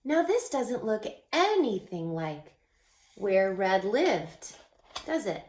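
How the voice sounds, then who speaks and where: A woman teacher reading a children's storybook aloud in an expressive, teacherly way, pausing to put questions to young listeners. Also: medium pitch. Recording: echoey, roomy sound.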